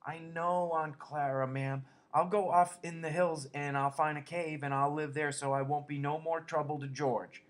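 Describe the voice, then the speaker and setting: A big, simple-minded man with a childlike heart pleads and whines in a high voice, as a male reader acts him out. He sounds anxious and eager to please, a storybook figure. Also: normal speed, natural voice. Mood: pleading.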